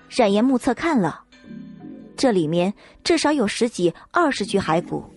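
A woman's voice: a little high, a little mellow. A woman narrating a scripted novel as an audiobook reader.

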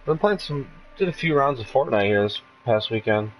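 A man with a medium-pitched voice makes loose, friendly small talk as a gaming stream host. Faint game music sits behind his voice. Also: lively intonation, normal speed, highs cut off. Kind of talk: casual chat while gaming.

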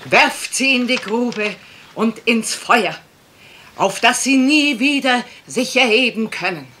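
Fanatically pious housemaid, slow, piercingly bright voice, menacing preaching, radio crime drama. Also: female voice, a little high, lively intonation, slight background noise, full character voice. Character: fanatically pious, deranged housemaid ranting scripture. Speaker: actor playing a housemaid.

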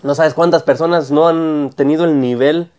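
A Mexican man in his thirties talks in loose podcast banter. His voice sits a little high, and he keeps restarting words and laughing between them. It is close and clean through a lapel mic in a small studio. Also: steady tone, normal speed, darkish tone. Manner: stumbles over words, repeats and laughs often. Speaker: podcast co-host. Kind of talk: casual chat. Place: small studio with brick-wall set. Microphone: clip-on lapel mic.

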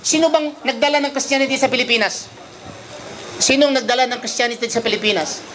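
A man's voice: very high voice, fast, fairly bright tone, a little hiss or hum, rough recording. A religious teacher, a man with a Filipino accent, preaching informally with repeated rhetorical questions. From Philippines. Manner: rhetorical questions, emphatic repetition.

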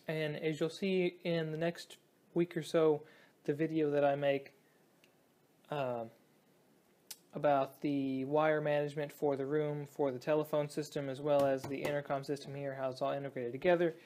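A young man giving a casual hands-on demonstration as a hobbyist, talking in a halting, think-aloud way. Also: a little high, little pitch movement, darkish tone. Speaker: hobbyist presenter. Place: indoors at a tabletop.

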